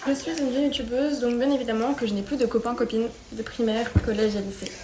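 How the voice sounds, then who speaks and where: A woman's voice: fairly high pitch, fairly even intonation, fast talker, warm tone, slight background noise, poor audio. A young woman YouTuber talking playfully to her viewers in vlog clips. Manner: bubbly, playful, addresses viewers. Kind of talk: upbeat vlog and skit talk. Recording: music under the voice.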